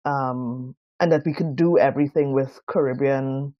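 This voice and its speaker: A woman's voice: rumbling low, steady tone, no echo, muffled recording. Thoughtful Trinidadian woman in her fifties. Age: 50s. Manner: thoughtful, many pauses and fillers. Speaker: guest designer. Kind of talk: reflective podcast interview.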